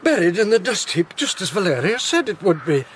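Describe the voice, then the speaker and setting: An actor with a British accent playing an excitable, sceptical Victorian gentleman with an emphatic manner in a radio drama. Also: high-pitched, brisk, a little hiss or hum. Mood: excited.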